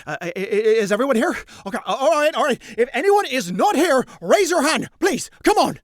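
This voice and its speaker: A narrator voicing a flustered female instructor who stammers and keeps getting louder as she fails to control a class. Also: mid-range voice, very animated, moderate pace, dry recording, clean studio sound. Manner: stammering, frantic, rising to shouts.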